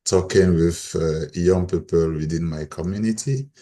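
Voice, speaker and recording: A man's voice: deep voice, measured pace, mellow. A middle-aged man with a Cameroonian accent, sharing personal stories as a panel guest. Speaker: panel guest, volunteer mentor.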